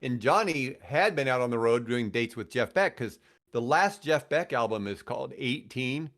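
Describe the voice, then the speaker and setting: A man's voice: medium pitch, lively intonation, moderate pace, fairly bright tone. A middle-aged American man telling stories in casual conversation as a podcast guest, rambling and enthusiastic. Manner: rambling, enthusiastic about music. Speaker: podcast guest, guitarist and radio host.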